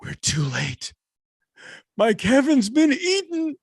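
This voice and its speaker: A man voicing a small, anxious, melodramatic male pet with a very raspy, breathy voice at a comedy table read. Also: very high voice, wildly swooping pitch, takes it slowly, no echo, studio quality, full character voice. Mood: despairing.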